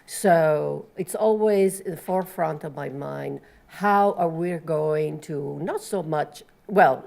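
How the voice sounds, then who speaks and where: A woman with an Italian accent, a professor moderating a panel and introducing speakers in an earnest, measured way. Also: sits low, vocal fry, darkish tone.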